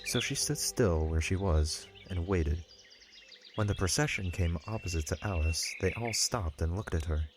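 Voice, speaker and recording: A man's voice: sits low, normal speed, noisy background. A man narrating a scripted audiobook story.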